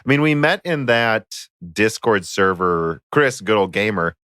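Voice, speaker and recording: A man's voice: lowish voice, lively intonation, measured pace, a bit bright, smooth, no room sound, clean studio sound. An American man hosting a casual tech podcast, chatty and rambling with frequent fillers. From United States. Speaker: podcast host.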